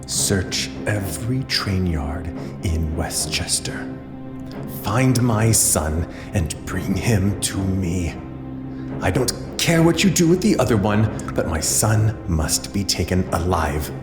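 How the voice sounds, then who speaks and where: An actor playing a ruthless, commanding lord and father in an audio drama, speaking in an imperious, measured and menacing way. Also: sits low, very animated, echoey room, long reverb tail, music in the background, exaggerated voice. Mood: seething, commanding. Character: commanding ruthless lord, a father hunting his runaway son.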